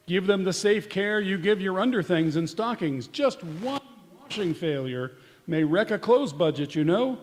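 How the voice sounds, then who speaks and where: A high-pitched man acting as a vintage radio announcer delivers formal, salesmanlike copy in 1930s style. His voice carries through a reverberant hall with long echoes. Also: a little hiss or hum. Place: live community theatre stage in church hall.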